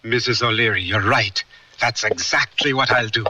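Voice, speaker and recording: An actor playing an earnest, lovestruck young African cowboy who tells his tale in measured, dramatic radio narration. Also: mid-range voice, distant mic, very noisy, natural voice. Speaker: narrator and lead character.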